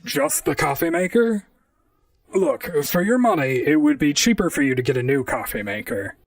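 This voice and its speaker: A man playing a wry, deadpan robot private detective in a noir audio drama, both narrating and talking to others. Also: sits high, normal speed, gravelly, no echo, clean studio sound, distant mic, heavily acted. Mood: businesslike. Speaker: narrator and lead character.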